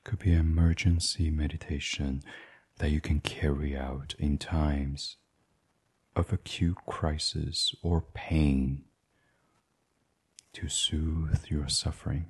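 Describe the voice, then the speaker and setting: A man gently and soothingly reading a guided sleep meditation in a breathy, husky voice, as the host. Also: extremely low pitch, little pitch movement, slow.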